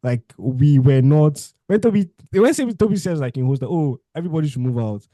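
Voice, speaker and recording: A young man hosts a podcast and feels his way through questions full of "like" and "um". His voice is mellow and mid-pitched, and it sounds dry and close. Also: animated, normal speed, no echo. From Nigeria. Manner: hesitant, circling questions full of "like". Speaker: podcast host. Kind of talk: casual conversational interview.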